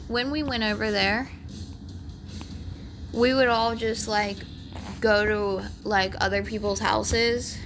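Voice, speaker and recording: A woman with a raspy voice telling a rambling storytime, full of "like" fillers, over game footage. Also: medium pitch, fairly bright tone, slight background noise. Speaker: vlogger.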